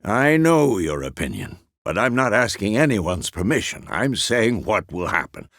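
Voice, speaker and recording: An aging monster hunter from a fantasy game, weary but steadfast. The voice is low and gravelly, with wide swings of pitch and a close, dry sound. He speaks in terse, measured phrases with a gruff fatherly authority. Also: very animated, a bit bright, dry recording. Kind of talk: fantasy video game character dialogue.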